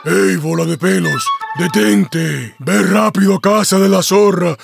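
Very gravelly, grumpy cartoon beast. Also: male voice, sits high, a little slow, soft background music, full character voice. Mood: bossy. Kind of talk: cartoon voice acting.

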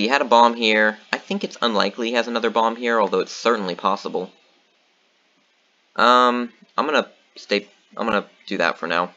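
Low-voiced man thinking aloud over a game. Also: dull audio. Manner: thinking aloud, relaxed, many fillers. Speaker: game commentator. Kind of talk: casual gameplay commentary.

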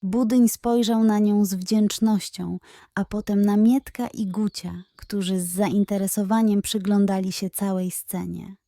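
Unhurried woman narrating a children's tale. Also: mid-range voice, fairly even intonation, warm tone, dry recording, studio quality. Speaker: storyteller. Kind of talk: scripted children's bedtime story narration.

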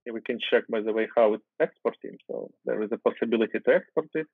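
A Ukrainian-native man in his thirties talks through code in a relaxed way, with fillers and restarts. He sits at home on a video call, and the sound is thin and phone-like. The audio is dry, with no room echo. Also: lowish voice, fast, vocal fry, husky. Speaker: guest software developer. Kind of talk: casual screen-share coding chat.

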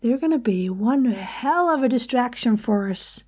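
A woman's voice: fairly high pitch, animated, a little slow, a little mellow. A woman playing a tough, tactical member of a rescue team in an audio horror drama. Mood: resolute.

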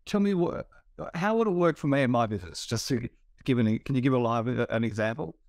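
An older man with a hoarse voice from a cough, hosting a business interview over a video call and talking in a chatty way with fillers. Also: mid-range voice, expressive, brisk, a little mellow, no echo, clean studio sound. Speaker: interview host, business coach.

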